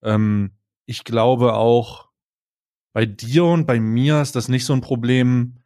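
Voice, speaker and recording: Laid-back German podcast co-host. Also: male voice, medium pitch, fairly even intonation, dark tone. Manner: laid-back, halting with fillers and restarts. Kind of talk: casual chat.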